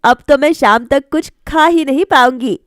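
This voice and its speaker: A woman's voice: high voice, normal speed, no room sound, studio quality, lightly acted. A woman voicing an older, plain-spoken but approving mother-in-law in a family cartoon. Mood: content, noncommittal.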